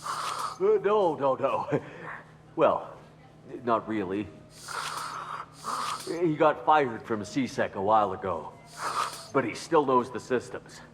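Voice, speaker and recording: Shifty cowardly crook's front man in a video game, pitch all over, slow pace, noisy behind. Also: lively intonation. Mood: nervous. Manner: nervous, stammering, theatrical.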